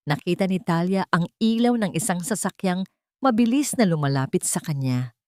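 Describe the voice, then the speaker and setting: Low-voiced Filipino woman narrating dramatically. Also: a little mellow, no echo, studio quality. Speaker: audiobook narrator.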